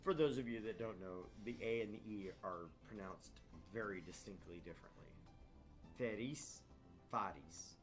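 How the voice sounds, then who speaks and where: Low-voiced man reading a visual novel aloud. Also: normal speed, soft background music. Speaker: gaming video host.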